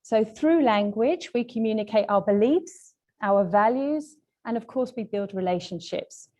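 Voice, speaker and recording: A woman leads an online language lesson as a trainer. Her voice is mellow and relaxed, at a middling pitch for a woman. She explains things politely and in a measured way, like a prepared presentation. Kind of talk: webinar lesson, semi-scripted presentation.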